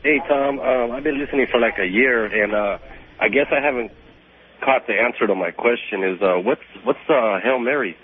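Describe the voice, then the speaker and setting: A man's voice: medium pitch, moderate pace. A man calling in to a radio show, chatting casually over the telephone. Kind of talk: casual chat. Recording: noisy, thin phone line.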